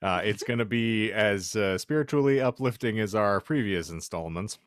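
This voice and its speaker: A man's voice: lowish voice, expressive, normal speed, dry recording, clean studio sound. A man hosting a casual podcast, bantering and reading aloud in a wry, mock-grandiose way. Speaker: podcast host.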